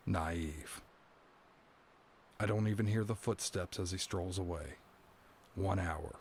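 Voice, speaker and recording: Man acting an anguished, low-voiced doomed prisoner. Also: a little hiss or hum, close to an everyday voice. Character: anguished, dread-filled male prisoner awaiting his doom. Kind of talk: dramatic first-person monologue, audio drama. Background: background music.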